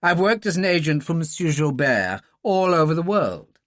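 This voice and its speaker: A man's voice: fairly high pitch, very animated, moderate pace, fairly bright tone, no room sound, clean studio sound, pitched above the performer's own voice. A man voicing a genteel English arms dealer in a thriller audiobook, with an English accent and polite, plummy, slightly nervous speech. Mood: polite, smooth. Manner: clipped, polite, plummy old-chap speech, nervously eager.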